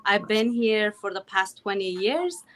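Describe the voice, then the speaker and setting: A woman's voice: medium pitch, lively intonation, unhurried. Woman in her 30s from afghanistan talking about her work, earnest, says "right" a lot, over video call. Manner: earnest, talkative, often says "you know" and "right". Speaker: guest, accountant and online business owner. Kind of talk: casual interview talk. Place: home in Calgary.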